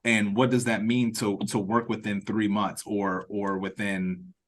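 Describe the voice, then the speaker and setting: A man leading an online meeting in casual chat, speaking informally and haltingly as he thinks aloud. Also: fairly low pitch, level tone. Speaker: writer-director leading the meeting. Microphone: video call.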